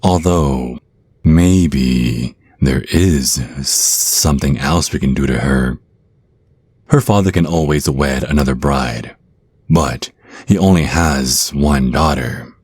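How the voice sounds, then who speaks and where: A man playing a sinister vampire naga kidnapper in an ASMR roleplay, slow and deliberate, with menacing politeness and a husky voice. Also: extremely low pitch, darkish tone, no echo, exaggerated voice. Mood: cold, scheming.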